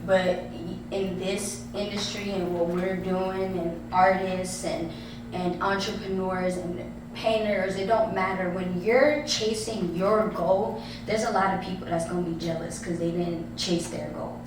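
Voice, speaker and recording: A woman's voice: on the low side, fairly even intonation, measured pace. A woman of thirty, a guest artist giving earnest advice in a casual chat. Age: 30s. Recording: echoey room, far from mic, noisy.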